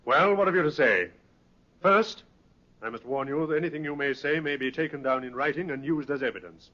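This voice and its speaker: A man's voice: fairly high pitch, fairly quick, bright, forceful tone. An actor playing a businesslike male police inspector in an old radio drama, clipped and questioning. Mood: stern, probing. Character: businesslike, probing male Scotland Yard inspector. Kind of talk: 1950s radio drama acting. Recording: dull vintage radio recording.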